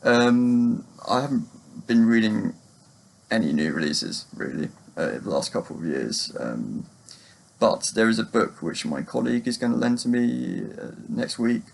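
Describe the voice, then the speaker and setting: A man with a gravelly, husky voice, chatting casually about books in a rambling, hesitant, self-deprecating way. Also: fairly low pitch, very animated, measured pace. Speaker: book vlogger.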